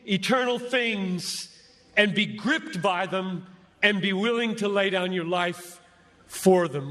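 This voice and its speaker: A man preaching an impassioned sermon to a crowd as a pastor, speaking emphatically and deliberately with dramatic pauses between short phrases. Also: sits high, fairly bright tone. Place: large gathering before a crowd. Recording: echoey large venue, long reverb.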